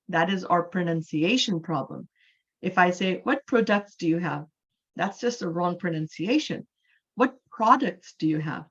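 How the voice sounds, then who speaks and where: A woman in her forties from Canada teaching an English class online. She explains patiently, slowing down and repeating key words for emphasis. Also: lowish voice, dull audio.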